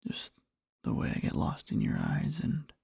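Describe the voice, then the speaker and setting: A man playing an adoring boyfriend in a soft ASMR roleplay, murmuring slowly and tenderly to a sleeping partner in a breathy, husky whisper. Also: fairly high pitch, wildly swooping pitch, no room sound, studio quality, heavily acted. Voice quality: breathy, husky, with creaky fry. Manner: slow, halting, tender murmuring.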